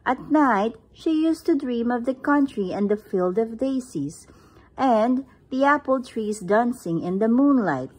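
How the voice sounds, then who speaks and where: A woman's voice: on the high side, expressive, a little slow, a little mellow, breathy edge, rough recording. A woman reading a picture book aloud at home, recorded on a phone camera above the book. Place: indoors, at home.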